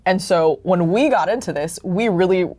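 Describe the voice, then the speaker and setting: A woman with a slightly low voice talks as a creator in a casual interview. She is expressive and conversational, often dropping "like" into her sentences. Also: moderate pace. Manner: animated retelling with acted-out quotes, frequent "like". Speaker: creator of an audio drama.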